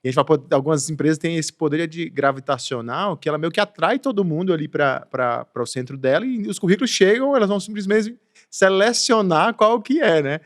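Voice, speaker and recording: A man's voice: on the high side, lively intonation, brisk, no echo, studio quality. A Brazilian man hosting a casual podcast chat, rambling with many restarts and fillers and laughing through his stories. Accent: Brazilian Portuguese. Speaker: podcast host.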